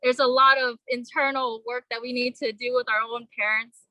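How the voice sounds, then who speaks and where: A young woman from Vietnam speaks at a vigil in an earnest, halting way, leaning on fillers as she gathers her thoughts. Her voice is bright and somewhat high. The sound is close and dry. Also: flat delivery, dry recording, low-quality recording. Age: young adult. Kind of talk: personal speech at a vigil.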